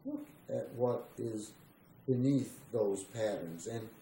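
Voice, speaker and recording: A man's voice: lowish voice, slow, warm tone. A man reading a spiritual text aloud in a meditative, scripted style. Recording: roomy echo, faint background noise.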